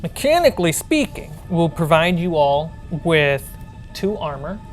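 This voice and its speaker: A woman speaking as game master, narrating a tabletop role-playing game in a halting, thinking-aloud way. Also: low voice, very animated. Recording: background music under the voice.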